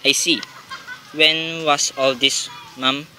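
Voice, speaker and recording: A man's voice: fairly high pitch, fairly even intonation, slow, bright, forceful tone, a little hiss or hum, exaggerated voice. A man playing a laconic, unimpressed police desk officer in an amateur radio play, speaking tersely and routinely.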